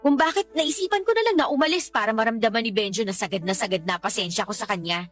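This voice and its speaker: A woman's voice: on the high side, lively intonation, quick pace, lightly acted. A Filipino woman acting in a radio drama as a fed-up young mother, complaining in an exasperated way. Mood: bitter, frustrated. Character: fed-up young mother venting about her partner. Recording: background music under the voice.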